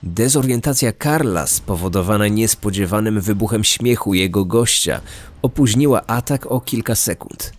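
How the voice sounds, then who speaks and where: A man narrating a scripted true-crime story in a measured, serious way. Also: fairly low pitch. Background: background music.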